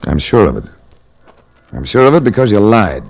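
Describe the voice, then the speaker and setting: Low-voiced hard-boiled radio detective. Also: male voice, normal speed, creaky, exaggerated voice. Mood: stern accusation. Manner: deadpan, clipped, accusatory. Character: hard-boiled male investigator, stern and coolly accusing. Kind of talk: 1940s radio drama acting, noir narration. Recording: restored vintage 1940s radio recording.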